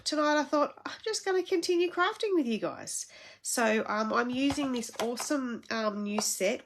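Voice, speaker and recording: Gravelly, stuffy-nosed Australian woman. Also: fairly high pitch. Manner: rambling, chatty, many fillers and restarts. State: congested, blocked-up nose. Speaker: crafting demonstrator hosting a live stream. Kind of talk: casual live-stream chat.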